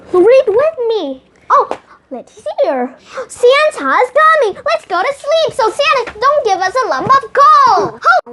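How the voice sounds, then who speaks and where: A high, youthful voice reading a picture book aloud expressively, with playful exclamations and chatty asides. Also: sharp tone, a little hiss or hum. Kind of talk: animated read-aloud storytelling with chatty asides.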